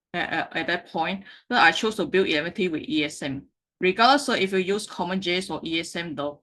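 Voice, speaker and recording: A woman, a Mandarin speaker, giving a slide presentation with frequent halting self-corrections. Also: lowish voice, a bit bright. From Malaysia. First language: Mandarin Chinese. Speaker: meetup speaker.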